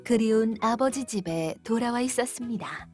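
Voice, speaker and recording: A woman narrating a children's fairytale in a clear, gentle read-aloud manner. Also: on the high side, moderate pace, soft background music. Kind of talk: scripted storybook narration.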